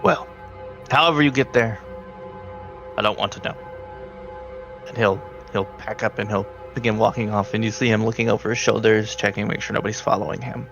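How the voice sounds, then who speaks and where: A man's voice: lowish voice, brisk, creaky, pauses often, fairly bright tone, lo-fi sound. A man narrating a tabletop roleplaying game as the game master. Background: background music.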